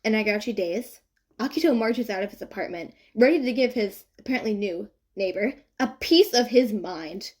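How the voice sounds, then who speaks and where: A woman narrating a written story in an expressive, informal and wry way. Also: medium pitch, moderate pace. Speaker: fan fiction narrator.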